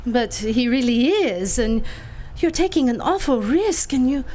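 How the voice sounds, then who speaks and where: Woman doing a high breathy nervous gal, stammers a ton, pitch all over the place. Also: lively intonation, unhurried, darkish tone. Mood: pleading. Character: young female fur thief, nervous and coaxing. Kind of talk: live community radio play acting.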